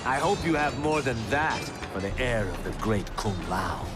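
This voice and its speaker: An actor playing a cocky, sarcastic young warrior monk in a video game, with a wry, exasperated manner. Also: male voice, medium pitch, lively intonation, normal speed, over loud music, close to an everyday voice. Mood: wry, indignant. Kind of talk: video game cutscene acting.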